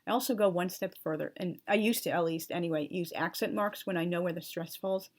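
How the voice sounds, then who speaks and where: A woman's voice: lowish voice, fairly quick. A young adult woman explaining a topic at length in an earnest, precise way, spelling words out letter by letter, with chatty asides and fillers. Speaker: channel host explaining language. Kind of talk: talking-head explainer, partly read from notes.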